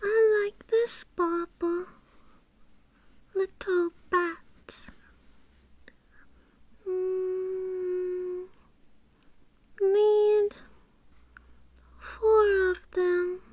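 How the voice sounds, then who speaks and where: Shy, cutesy British girlfriend for ASMR roleplay: extremely high, very slow, soft and whispery. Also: little pitch movement, full character voice. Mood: timid, soft. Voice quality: soft, breathy, often whispery. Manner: timid, cutesy, hesitant. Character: shy, childlike, sweet submissive young girlfriend. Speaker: audio roleplay performer.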